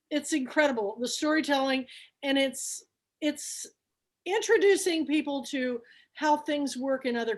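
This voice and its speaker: High-voiced, gushing book club hostess. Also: female voice. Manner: gushing and enthusiastic. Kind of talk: casual chat on a video call. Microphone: video call.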